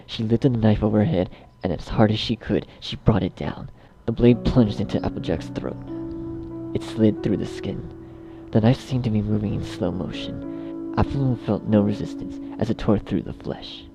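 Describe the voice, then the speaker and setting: A man reads a scripted horror story as narrator. His voice is low with heavy creak, set over clearly audible music. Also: rough recording.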